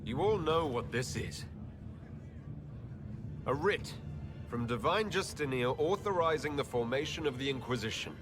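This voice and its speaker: An actor playing a resolute, commanding human male leader in a dramatic video game cutscene, speaking in a measured, firm way. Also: mid-range voice, lively intonation, natural voice. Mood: defiant, resolute. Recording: loud orchestral music behind.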